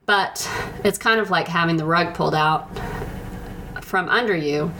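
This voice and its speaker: A woman telling a personal faith testimony in a reflective way, pausing often and restarting her sentences. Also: low-pitched. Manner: slow, halting, with many pauses and restarts. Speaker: speaker sharing a personal testimony. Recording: noticeable background noise.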